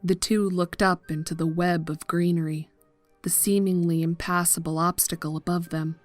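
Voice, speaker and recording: Narrator: woman, lowish register, measured dramatic horror storytelling over soft music. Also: flat delivery, no room sound.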